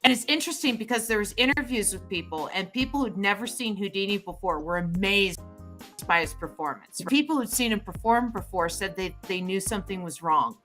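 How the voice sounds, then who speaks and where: A woman's voice: mid-range voice, lively intonation, a little fast, fairly bright tone, soft background music. A woman co-hosting a casual chat show, rambling and thinking aloud with fillers while she draws. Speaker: co-host drawing a comic.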